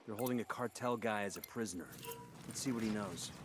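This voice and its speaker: An actor playing a hard, commanding special forces squad leader in a video game, terse and stern. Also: male voice, fairly low pitch, a little fast, lightly acted. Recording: loud background noise.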